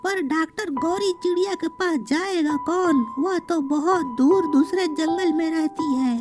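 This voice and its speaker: A woman plays a little young bird in a children's cartoon. The voice is extremely high, childlike and excitable, quick to take fright, with loud music playing behind it. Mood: earnest, worried. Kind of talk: Hindi children's cartoon voice acting.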